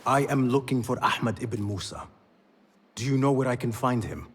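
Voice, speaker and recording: Man acting an earnest young assassin, lively pitch. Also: normal speed, slight background noise, close to an everyday voice. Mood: brotherly exasperation. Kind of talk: video game cutscene acting.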